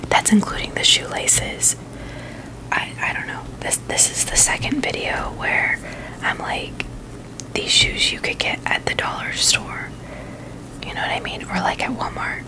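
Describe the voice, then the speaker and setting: A woman's voice: unhurried, rough recording. A woman giving a casual, chatty ASMR product review in a whisper, informal and opinionated as she rambles along. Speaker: ASMR video creator.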